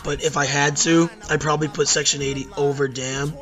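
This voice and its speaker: A hoarse young man ranking albums in a rambling, casual talk, full of fillers and self-corrections. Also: on the high side, flat delivery, brisk, fairly bright tone, faint music behind. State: hoarse from losing voice at a concert. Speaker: YouTube music reviewer.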